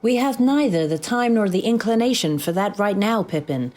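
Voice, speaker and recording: A woman playing a brisk, dry-witted AI assistant in a comic sci-fi audio drama. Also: medium pitch, lively intonation, darkish tone, natural voice. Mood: brisk, informative. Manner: crisp, dry, businesslike.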